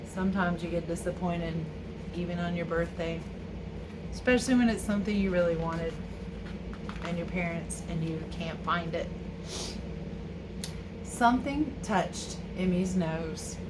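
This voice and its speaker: A woman's voice: lowish voice, a little slow, long pauses. A woman teacher reading a picture book aloud to children with chatty asides. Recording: clear background ambient noise.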